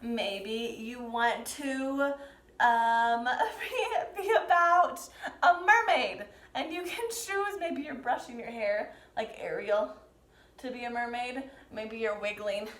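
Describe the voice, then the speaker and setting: A woman teaching dance to young learners, explaining and demonstrating step by step with gentle, encouraging prompts. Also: a little high, slow pace. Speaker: dance teacher leading a children's pantomime lesson. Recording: roomy, echoing sound.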